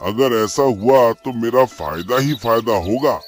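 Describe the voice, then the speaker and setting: Man playing a sly fox with medium-pitched voice. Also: measured pace, soft background music, lightly acted. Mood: scheming. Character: sly, greedy fox, deferential royal minister of the jungle. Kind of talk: cartoon story character acting.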